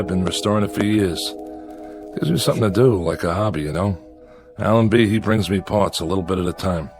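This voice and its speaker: An actor playing a lonely, weary convict in exile, rambling in a folksy, resigned way as he records his log in a radio drama. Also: sits low. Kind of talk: radio drama acting, spoken diary.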